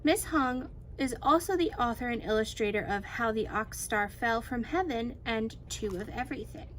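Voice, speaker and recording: A woman's voice: fairly high pitch, moderate pace, a little hiss or hum. A woman speaking as a teacher, introducing and reading aloud a book for children.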